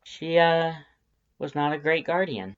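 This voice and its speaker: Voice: mid-range voice, lively intonation, unhurried, highs cut off, pitched above the performer's own voice, close to an everyday voice. A narrator voicing an apologetic young woman with a casual, hesitant, awkward manner. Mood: evasive.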